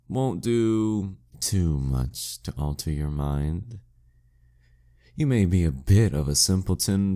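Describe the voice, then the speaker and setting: A man performs a dominant demon king in a flirty audio drama. His voice is very deep and dark, with a mellow softness. He speaks slowly and condescendingly, teasing the listener with courtly, seductive menace. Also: exaggerated voice. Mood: possessive, teasing. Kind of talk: flirty audio roleplay monologue addressed to the listener.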